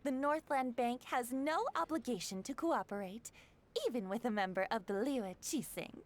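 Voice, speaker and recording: A woman's voice: fairly high pitch, moderate pace, close to an everyday voice. A woman playing a haughty, suspicious bank official in a video game, formal, indignant and defiant. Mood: exasperated, defiant.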